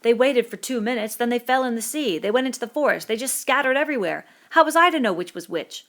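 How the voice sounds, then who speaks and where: A woman's voice: on the high side, expressive, fast talker, a bit bright, pitched above the performer's own voice. A woman reading an audiobook voices an indignant, bespectacled schoolboy who lectures the others in breathless working-class dialect. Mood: aggrieved.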